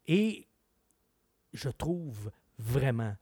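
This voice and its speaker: Chatty Québécois car expert, dry sound. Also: male voice, mid-range voice, very slow, breathy edge, no room sound. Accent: Québécois French. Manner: chatty, opinionated, animated. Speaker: car expert on a radio show. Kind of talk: casual chat.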